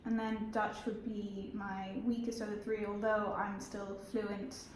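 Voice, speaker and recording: A woman's voice: medium pitch, a little slow, a little hiss or hum. Young woman from Belgium, echoey room. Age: young adult. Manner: relaxed and matter-of-fact, with frequent fillers. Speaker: interviewee. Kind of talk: casual interview answers.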